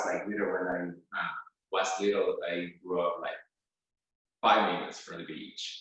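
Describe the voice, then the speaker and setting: A man's voice: deep voice, bright, forceful tone, heavy reverb, recorded from a distance, rough recording. A man from Venezuela, a guest flute player, answering interview questions at home with many fillers and restarts. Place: his home.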